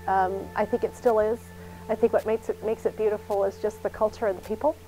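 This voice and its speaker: Woman soldier from Afghanistan, reflective interview delivery, dark mellow tone. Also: medium pitch, flat delivery, faint music behind, rough recording. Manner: reflective, many filler pauses. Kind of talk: documentary interview.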